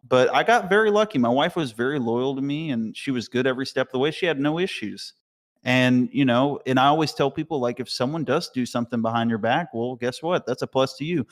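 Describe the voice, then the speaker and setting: A young man from Alabama talks in a loose, rambling way, full of fillers, with a light Southern accent. His medium-pitched voice sounds older than he is, and he keeps an earnest tone. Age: young adult. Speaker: chat participant. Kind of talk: casual live stream chat.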